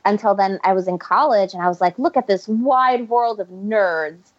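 A woman's voice: mid-range voice, normal speed. A woman from Ecuador, a guest author, chatting casually in an interview and often restarting her sentences. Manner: rambling, self-correcting, laughs often. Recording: muffled lo-fi audio.

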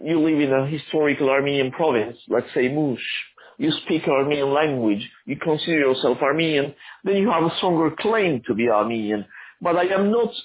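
A man who grew up in Argentina, an author answering interview questions in a halting, thoughtful way with many restarts. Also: on the high side, narrow, tinny audio.

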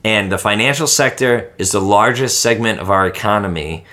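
A man's voice: on the low side, normal speed, sharp tone. A man in his late thirties in casual conversation, ranting and swearing freely, with lots of filler "like". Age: 30s. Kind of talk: casual chat.

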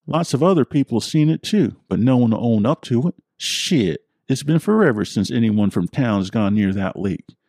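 A man's voice: mid-range voice, lively intonation, moderate pace, creaky, hardly any pauses, darkish tone, no echo, clean studio sound, pitched above the performer's own voice. A man voicing a crude, gossipy old country man who cackles over his stories. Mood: knowing.

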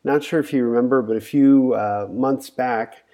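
Male podcast host, mellow dark tone, casual and filler-heavy. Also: medium pitch, moderate pace. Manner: loose, filler-heavy, friendly setup.

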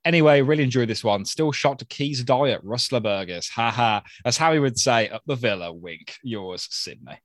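A man's voice: mid-range voice, expressive, normal speed, few pauses, no room sound, clean studio sound. A man, hosting a podcast in easygoing chat and reading out listener mail. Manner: easygoing, chatty, with fillers and laughs. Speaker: podcast host.

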